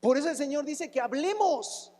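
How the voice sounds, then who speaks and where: A man's voice: extremely high pitch, expressive, moderate pace, darkish tone, long reverb tail. A man, preaching a fervent sermon as a pastor, asking rhetorical questions and breaking into sudden exclamations. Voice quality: raspy, rough voice.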